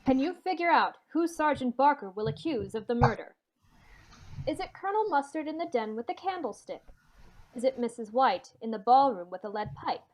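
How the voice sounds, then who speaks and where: A woman's voice: sits high, lively intonation, moderate pace, poor audio. A woman announcer narrating a scripted radio mystery in a theatrical, playful way.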